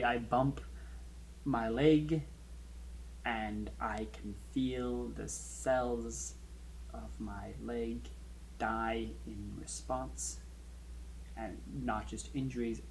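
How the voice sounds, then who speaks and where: An anxious, bitter man played by an actor confesses his dread of dying in a horror audio drama. His mid-pitched voice is halting and intense, breaking phrases apart. A faint noise sits behind the recording. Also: vocal fry, rough recording.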